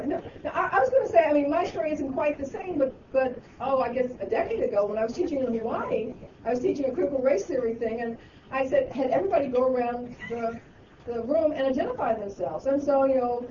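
A woman teacher speaks earnestly and wanders through fillers as she tells a story. Her voice is somewhat high, with a roomy echo, far from the mic in a dull, noisy recording. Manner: earnest, rambling with many fillers. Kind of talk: group discussion. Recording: echoey distant dull mic with noise.

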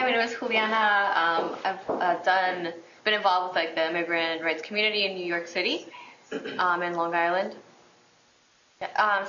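A woman's voice: on the low side, noisy background. A young woman law student introducing herself hesitantly, with many fillers. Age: young adult. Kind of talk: self-introduction. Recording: echoey room, dull sound.

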